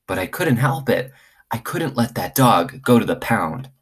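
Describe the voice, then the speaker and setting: A man reading aloud to children in an expressive storytelling style. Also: on the low side, unhurried, slightly rough. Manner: expressive, acts out dialogue. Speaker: teacher reading aloud to students.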